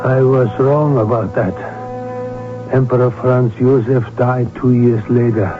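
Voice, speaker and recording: A man's voice: mid-range voice, steady tone, unhurried, natural voice. Man acting an urgent royal doctor on tinny radio. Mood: grave recounting. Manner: urgent, emphatic. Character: outspoken, agitated royal physician recalling a tragedy. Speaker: doctor character who also narrates. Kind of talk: 1970s radio drama acting. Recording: tinny narrowband old radio recording, music behind.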